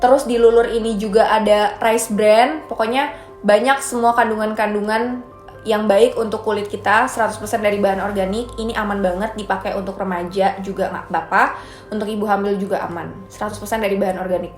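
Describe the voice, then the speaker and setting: A woman's voice: medium pitch, fairly even intonation, fairly quick. Chatty young woman in an echoey room. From Indonesia. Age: 20s. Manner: chatty, upbeat, mixes in English phrases. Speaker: brand founder answering viewer questions. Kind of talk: casual chat. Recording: echoey room with soft music.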